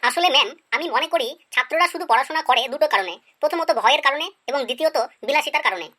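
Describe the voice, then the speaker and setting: A performer voicing a cheeky schoolboy pupil who makes excuses in a deferential, pleading way in an animated comedy skit. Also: high voice, rapid-fire.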